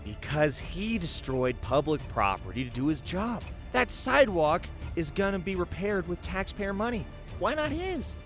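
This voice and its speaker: Casting: male villain, mocking crime boss, hugely swooping delivery, mid pitch, music underneath, audio drama. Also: big pitch swings. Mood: taunting, commanding. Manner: taunting, toying, drawn-out threats.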